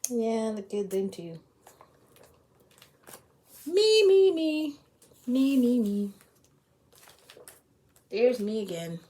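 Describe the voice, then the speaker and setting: A woman's voice: on the high side, big pitch swings, drawn-out words, pauses often, dark tone. A woman chatting casually to her followers in a playful, exclamatory way, with self-mocking vanity.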